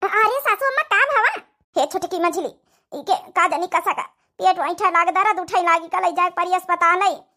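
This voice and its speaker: A woman's voice: extremely high pitch, moderate pace, bright, forceful tone, full character voice. A woman voicing dramatic, exclamatory village in-laws in a cartoon comedy, with an Awadhi accent. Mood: anxious, urging. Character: scheming young daughters-in-law and fretting mother-in-law, village family.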